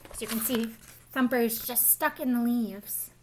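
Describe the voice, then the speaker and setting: A woman reading a children's storybook aloud in an expressive way, as if explaining it to young listeners. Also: fairly high pitch, normal speed, slight background noise, rough recording. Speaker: storybook reader, school staff.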